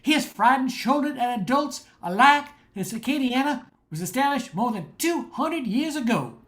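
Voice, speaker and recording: A woman's voice: sits high, expressive, normal speed. An older woman reading scripted narration as the announcer of a radio play.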